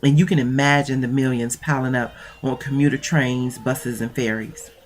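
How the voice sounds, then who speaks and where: A woman's voice: rumbling low, steady tone, normal speed. A woman mentoring coach with a stuffy nose, talking in a chatty, rambling way with many fillers and reading aloud from her own notes. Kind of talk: casual talk and reading aloud.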